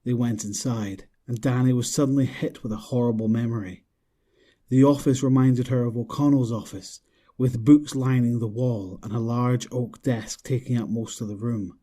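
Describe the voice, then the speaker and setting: Dark, husky male story narrator. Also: medium pitch, fairly even intonation, moderate pace.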